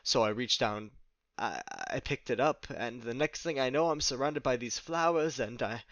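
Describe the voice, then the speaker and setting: A man playing a flustered, confused, nature-loving man who speaks haltingly in formal words in an audio drama. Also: medium pitch, a bit bright, dry recording, highs cut off, close to an everyday voice. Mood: bewildered, halting. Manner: halting, stammering, formal wording.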